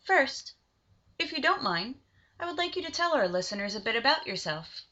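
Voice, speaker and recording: A woman's voice: sits high, very animated, moderate pace, bright, forceful tone, highs cut off. A woman acting as interviewer, reading formal, admiring questions in a scripted interview.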